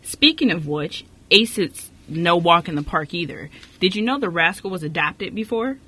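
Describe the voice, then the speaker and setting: A woman's voice: fairly low pitch, expressive, moderate pace, sharp tone. A woman playing a stern, disapproving orphanage director in an amateur radio play, formal and blunt.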